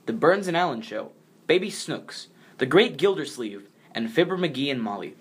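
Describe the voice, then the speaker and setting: A man's voice: on the high side, very animated, moderate pace. An American man co-presenting a biography, reading from a script. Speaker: co-presenter, grandson of the subject.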